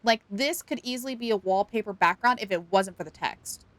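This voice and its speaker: A woman's voice: medium pitch, a little fast, no room sound. A young woman livestreaming a video game, chatting casually in a playful, giggly, exclamatory way. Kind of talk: casual gaming stream commentary and chat.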